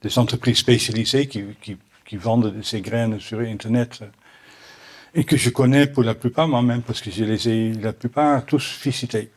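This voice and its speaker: A middle-aged man from the Netherlands with a Dutch accent, answering audience questions at a conference in halting French full of restarts. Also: mid-range voice, fairly even intonation, a little mellow. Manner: halting, many restarts and repeated words. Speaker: botanical garden owner answering questions. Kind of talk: Q&A after a conference talk.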